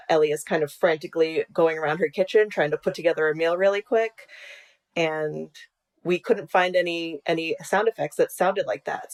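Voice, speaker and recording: Female podcast creator being interviewed: conversational, on the lower side, recorded on a video call. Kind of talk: casual interview chat.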